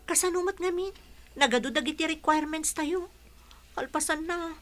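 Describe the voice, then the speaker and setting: High-voiced young woman student. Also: moderate pace, fairly bright tone. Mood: worried. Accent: Filipino. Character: anxious young female college student. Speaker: radio drama actor. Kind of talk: Filipino radio drama acting.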